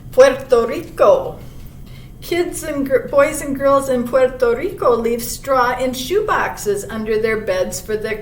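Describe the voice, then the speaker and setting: A woman reading a children's picture book aloud as a library storyteller, pausing for chatty asides and questions to young listeners. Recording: roomy, distant mic with clear low rumble noise.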